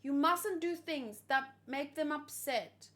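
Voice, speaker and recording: Woman reading as an earnest little girl, high-voiced. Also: slow, a bit bright. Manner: sweet, polite, plain-spoken. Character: earnest, selfless young giant girl.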